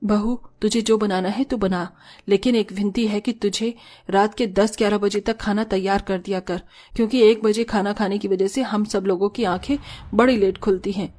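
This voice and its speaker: A woman's voice: medium pitch, fairly even intonation, normal speed, darkish tone, close to an everyday voice. Woman as a firm, motherly mother-in-law. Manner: reproachful, motherly. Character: middle-aged mother-in-law, caring but firm and scolding. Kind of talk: cartoon family drama acting.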